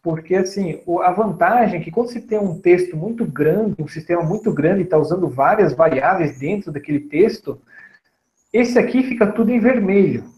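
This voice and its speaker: A man in his thirties teaching programming on a screen recording, relaxed and chatty, often checking in with his listeners. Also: high voice, moderate pace, roomy sound, low-quality recording. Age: 30s. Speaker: programming instructor. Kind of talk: screen-recorded coding tutorial.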